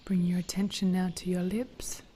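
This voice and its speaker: A woman leading a guided meditation in a gentle, soothing, hushed voice, with phrases trailing into breath. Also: fairly low pitch, flat delivery, normal speed, slight background noise.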